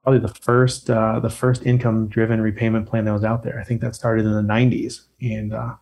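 A man's voice: on the low side, little pitch movement, brisk, a little mellow. Chatty man explaining loan plans. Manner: chatty, explanatory, light humor. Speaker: guest expert on loans. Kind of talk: casual podcast chat.

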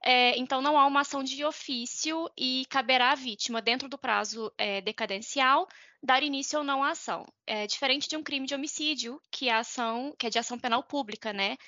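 A woman's voice: high voice, brisk, pushed and bright, no room sound. A woman with a Brazilian Portuguese accent explaining law informally, hesitating and correcting herself as she goes. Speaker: podcast panelist explaining law. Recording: dull lo-fi, dry close sound.

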